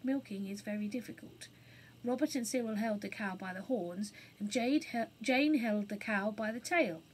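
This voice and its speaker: A woman reading a children's story aloud as an expressive storybook narrator. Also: medium pitch, moderate pace, slightly rough.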